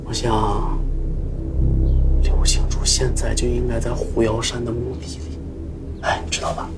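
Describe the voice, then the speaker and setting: An actor playing an anxious, earnest young bus driver, hesitant and often dropping to a breathy near-whisper. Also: male voice, mid-range voice, monotone, a little slow, loud music behind the voice, natural voice. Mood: hushed urging. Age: young adult. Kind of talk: screen drama acting. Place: furnished room indoors.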